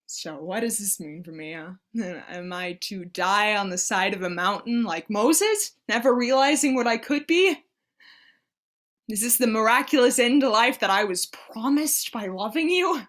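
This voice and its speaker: An actress playing an anguished, devout woman who pleads with and challenges God in halting, impassioned speech, in a staged play reading. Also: on the high side, lively intonation. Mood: pleading, wounded. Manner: halting, impassioned, swinging between hushed and pleading.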